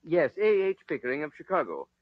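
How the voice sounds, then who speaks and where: An earnest Quaker land buyer speaks politely with a very lively, swinging pitch. It is old radio drama acting, close and dry on a dull lo-fi recording. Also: male voice, brisk, no echo.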